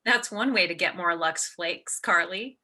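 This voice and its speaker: An actress playing a sharp-tongued, worldly woman of the theater in a radio play, wry and teasing. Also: lowish voice, unhurried, exaggerated voice. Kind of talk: theatrical radio drama acting.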